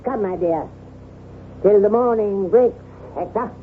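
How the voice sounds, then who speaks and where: An actor playing a suave dandy challenged to a duel, mannered and theatrical, in a period radio drama. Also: male voice, high voice, a little slow. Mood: challenging, mocking. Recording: tinny, noisy old radio broadcast.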